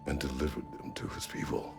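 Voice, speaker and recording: An actor playing a gruff, stoic warrior husband in a video game, speaking in terse, solemn, gravelly phrases. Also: male voice, a little fast, over music, lightly acted. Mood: grave, brooding. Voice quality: very deep gravelly voice. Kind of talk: dramatic video game acting.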